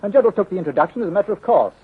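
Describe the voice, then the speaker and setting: On a thin, band-limited 1930s radio recording, a genial, anxious English knight. He is a quick-talking, slightly husky man with clubby, well-bred phrasing. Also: sits high, lively intonation, narrow, tinny audio, full character voice. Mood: earnest. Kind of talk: 1930s radio mystery acting.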